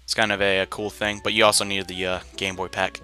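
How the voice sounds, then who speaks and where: A young man with a slightly low, very sharp and bright voice hosts a let's play. He rambles casually in slang and drops jokey asides. Faint game music plays underneath him. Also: flat delivery, fast talker. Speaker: gaming video host.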